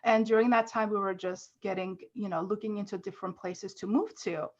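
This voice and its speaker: A woman's voice: mid-range voice, fairly even intonation, a little fast. Chatty woman from Armenia, lo-fi sound. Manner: chatty, heavy on "like" and "you know". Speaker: podcast guest. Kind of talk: casual interview storytelling.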